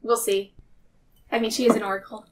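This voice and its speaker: A woman's voice: fairly high pitch, flat delivery, brisk, distant mic, slight background noise. A woman chatting casually as a player in a tabletop role-playing game. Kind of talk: casual chat, playing in character.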